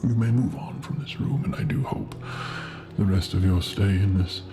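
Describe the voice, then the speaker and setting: A man playing a gentle, awkward Death in an ASMR roleplay, soothing a patient in a breathy, hesitant half-whisper. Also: sits low, moderate pace, over music, close to an everyday voice. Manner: hesitant, soothing, repetitive, slightly formal.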